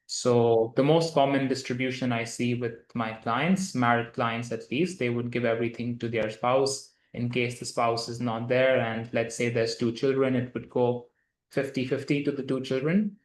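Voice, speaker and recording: A man, a lawyer explaining procedures to an interviewer in a steady, matter-of-fact way. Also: medium pitch, moderate pace. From grew up in India. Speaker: lawyer, guest. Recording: echoey room.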